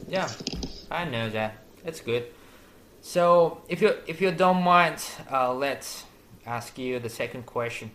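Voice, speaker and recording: A man whose first language is close to Turkish speaks English hesitantly, with frequent fillers and restarts. He has a mid-pitched voice and asks questions in a casual, searching way. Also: expressive, slow pace, a bit bright. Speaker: English learner asking questions. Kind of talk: casual conversation.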